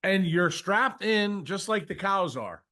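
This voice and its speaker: A man's voice: high voice, lively intonation, slow, bright, forceful tone. A man lecturing off the cuff in answer to a question, blunt and confrontational, swearing freely and scolding with rhetorical questions. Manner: uses mocking imitations and rhetorical questions. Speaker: speaker leading a question session.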